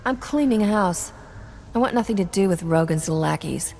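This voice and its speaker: A woman's voice: medium pitch, expressive, normal speed, creaky, a little mellow, a little hiss or hum. An actress playing a ruthless, cocky female smuggler captain in a game, cool and clipped. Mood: defiant. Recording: game audio with reverb and score.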